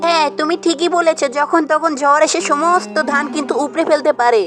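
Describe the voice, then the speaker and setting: Casting: woman as dutiful cartoon village wife, raised high voice, gently concerned, music behind. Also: fairly even intonation, fairly quick, natural voice. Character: agreeable, hardworking young farmer's wife, gently concerned. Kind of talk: amateur cartoon dialogue.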